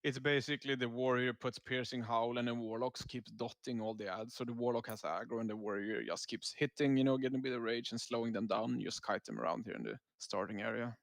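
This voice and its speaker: A man's voice: medium pitch, fairly even intonation, fast talker, gravelly, hardly any pauses, dry recording. A man giving casual, laid-back gameplay commentary as a raid leader, explaining tactics as he goes.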